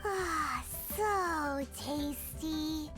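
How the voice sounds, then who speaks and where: A woman voicing a small, childlike, bubbly and naive fairy companion in an animated video game, squeaky and eager. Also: drawn-out words. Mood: delighted. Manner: exclaims eagerly, refers to self in third person. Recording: loud music behind the voice. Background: game music.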